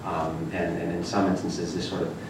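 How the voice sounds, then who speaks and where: A man's voice: deep voice, flat delivery, moderate pace. A man on a university panel answering an audience question, hesitant and full of fillers, speaking into a handheld mic. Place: large echoey lecture room. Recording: echoey room from far off with noise.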